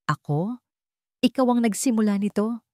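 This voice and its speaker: A woman's voice: mid-range voice, lively intonation, normal speed, a little mellow, dry recording, clean studio sound, pitched above the performer's own voice, lightly acted. Woman voicing a cold, menacing rich husband. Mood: angry. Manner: heavy, emotionless, scornful words. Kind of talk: dramatic Tagalog storytelling.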